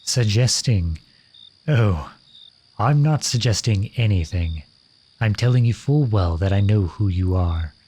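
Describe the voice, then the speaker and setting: A man's voice: fairly low pitch, lively intonation, a little slow, mellow, breathy edge. A man playing a lovesick, courtly royal in a romantic ASMR roleplay, speaking to the listener in formal, flowery phrases. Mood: knowing, intimate.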